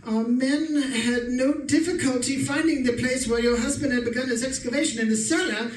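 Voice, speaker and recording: An actor playing a genteel, smug, scheming married professor in a formal old-time radio suspense style. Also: male voice, very high voice, steady tone, normal speed. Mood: uneasy, reading aloud. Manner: formal, chuckling, self-satisfied. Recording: roomy, distant stage recording with music.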